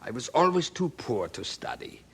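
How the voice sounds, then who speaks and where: A man's voice: medium pitch, sing-song, moderate pace, gravelly, fairly bright tone, slightly rough, heavily acted. A man acting in a radio drama as a hardworking, poor miner father, earnest, hopeful and emphatic in his delivery. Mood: earnest, determined.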